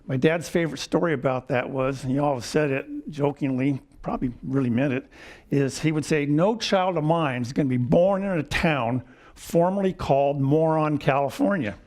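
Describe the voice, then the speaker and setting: A man in his seventies giving a lecture with personal stories, wry and chuckling, often clearing his throat. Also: fairly high pitch, moderate pace, a little mellow. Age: 70s. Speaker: guest lecturer. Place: lecture hall.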